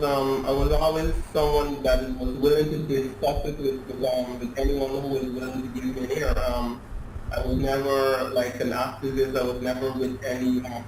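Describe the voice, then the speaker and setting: A man in his late twenties from Guyana with a Guyanese accent, answering interview questions hesitantly with many ums and restarts. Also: mid-range voice. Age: late 20s. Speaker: interview guest, youth movement founder. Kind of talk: remote interview. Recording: echoey room with clear background noise.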